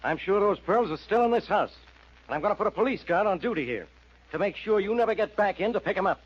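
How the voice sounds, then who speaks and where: An actor playing a gruff, exasperated police inspector in 1940s radio style, blunt and commanding. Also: male voice, sits high, animated, fast talker. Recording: dull old radio recording.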